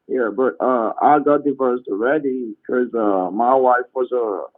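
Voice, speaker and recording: A man on a voice call, talking hesitantly with many fillers and soft trailing endings. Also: medium pitch, measured pace. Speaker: scammer on a voice call. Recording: tinny phone line.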